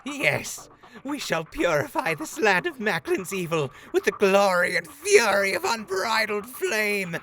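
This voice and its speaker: A gleeful pyromaniac soldier, voiced by a man, gushes about flames in florid, theatrical phrases. His bright voice leaps wildly up and down. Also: high-pitched, big pitch swings, measured pace, heavily acted. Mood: zealous. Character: gleeful fire-loving male pyromaniac soldier.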